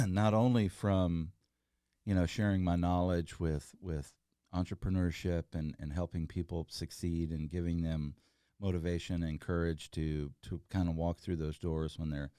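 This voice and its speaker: A man's voice: low-pitched, fairly even intonation, a little slow, mellow, clean tone, no echo, studio quality. A middle-aged man, a guest talking casually and earnestly, rambling with fillers and restarts. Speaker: guest, entrepreneur. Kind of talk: casual interview chat.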